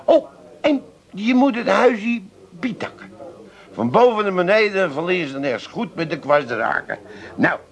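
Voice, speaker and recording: Lively, rambling pub mate. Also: male voice, sits high, unhurried, creaky, full character voice. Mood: breezily instructing. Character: jovial, crafty pub mate of the schemer. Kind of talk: comedic radio play acting.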